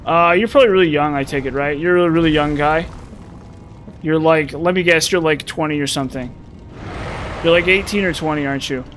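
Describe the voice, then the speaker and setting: A man's voice: fairly high pitch, brisk, a bit bright, faint music behind. A man in his thirties casually chatting and rambling to his viewers while streaming a game. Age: 30s. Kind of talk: casual live-stream chat while playing.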